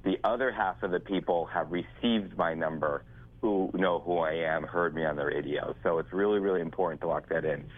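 A man with a low voice calls in over a thin, phone-like line. He is congested and stuffed up, and he talks in a chatty, salesy way that circles back and repeats itself. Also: a little fast. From northeast United States. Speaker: attorney calling in to radio. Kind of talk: radio call-in chat.

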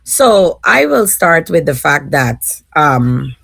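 A woman in her forties with a Guyanese accent speaks slowly and thoughtfully as a panel guest, pausing with frequent fillers. Her voice is gravelly and slightly husky, a little lower than most. The sound is dry and close. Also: very animated, a bit bright, no echo. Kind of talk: casual panel discussion.

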